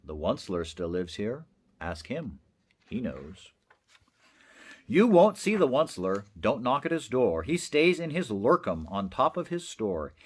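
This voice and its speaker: Animated man reading a children's storybook. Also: medium pitch, a little slow, breathy edge. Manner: theatrical, rhythmic delivery. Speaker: storybook reader, teacher. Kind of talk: animated children's read-aloud.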